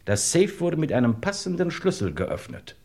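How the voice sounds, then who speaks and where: An actor playing a courteous, insinuating detective in a vintage radio crime play, formal and pointed. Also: male voice, fairly high pitch, sing-song, normal speed, darkish tone. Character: dry, courteous, insinuating male police detective.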